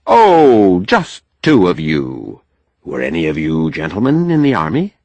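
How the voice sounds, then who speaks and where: A man's voice: low-pitched, wildly swooping pitch, measured pace, darkish tone, no room sound, full character voice. A man voicing a stage magician with mildly puzzled, offhand showman's patter in an audiobook. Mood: apologetic showman. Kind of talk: audiobook character acting.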